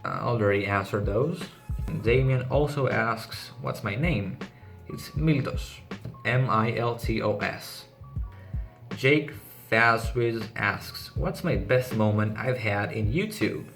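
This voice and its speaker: A man's voice: fairly low pitch, takes it slowly, creaky, a bit bright. A man with a Greek accent reading out questions and answering them briefly and matter-of-factly in a casual vlog. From Greece. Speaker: car channel host. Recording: music playing behind the voice. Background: background music.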